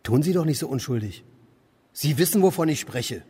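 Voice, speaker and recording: An actor playing an idle, bemused drifter who mutters and exclaims to himself in a German radio play. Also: male voice, a little high, animated, normal speed, dark tone, husky, no echo. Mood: accusing. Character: idle male drifter, musing, irritable, bemused.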